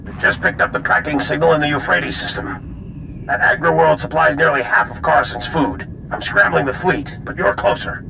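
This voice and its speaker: Casting: grave sci-fi game general, very gravelly, terse and authoritative, over clear rumbling noise. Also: male voice, moderate pace. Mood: urgent, commanding. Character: grave, commanding male military general. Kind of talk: video game cutscene acting.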